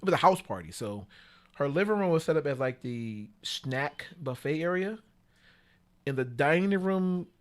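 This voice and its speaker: A man telling bawdy stories casually as a podcast guest, slangy and animated, acting out what people said. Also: fairly high pitch, moderate pace. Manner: animated, slangy, with acted-out quotes.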